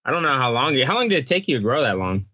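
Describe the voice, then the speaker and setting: A man's voice: mid-range voice, sing-song, fast talker, sharp tone, no echo, lo-fi sound. A man from Texas, hosting a casual podcast chat and laughing often as he rambles. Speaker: podcast host.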